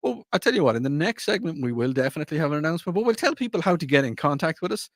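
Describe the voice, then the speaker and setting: A man's voice: a little high, sing-song, racing, no room sound. A man hosting a radio show, chatting casually in a playful, teasing, rambling way from a studio microphone. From Ireland.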